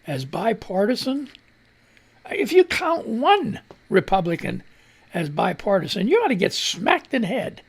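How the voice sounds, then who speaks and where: A man hosting a casual live radio news show, reading posts aloud and ranting about them with sarcasm and the odd swear word. His voice is gravelly, and he keeps holding back a cough. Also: sits high. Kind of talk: casual political commentary, reading and reacting to posts. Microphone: studio mic on a live stream.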